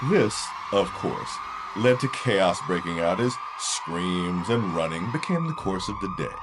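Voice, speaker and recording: A man's voice: sits low, lively intonation, measured pace, background noise. A man narrating a fantasy audio drama from a script in a dramatic storytelling style.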